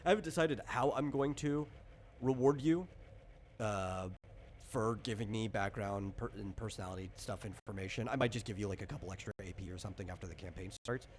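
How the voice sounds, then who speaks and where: A man's voice: lowish voice, brisk. A man chatting casually as a game master, explaining things in an informal, rambling way. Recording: clear background noise.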